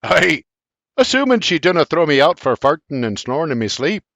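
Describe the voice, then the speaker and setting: A man's voice: on the high side, big pitch swings, normal speed, a bit bright, no room sound, voice pushed up. A man voicing a fatherly, joking old Scottish nobleman in broad dialect. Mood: hearty. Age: older. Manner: boisterous, teasing, broad dialect.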